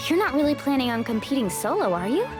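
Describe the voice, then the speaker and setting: A woman's voice: sits high, sing-song, fast, music in the background. A woman voicing a confident, upbeat, teasing young warrior in a video game. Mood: teasing, persuasive.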